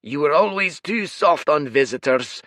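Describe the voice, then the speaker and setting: A man voicing a giant furious male spirit of rage who booms in a gravelly, hoarse, raised voice. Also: expressive, moderate pace, no echo, clean studio sound. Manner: booming, shouting.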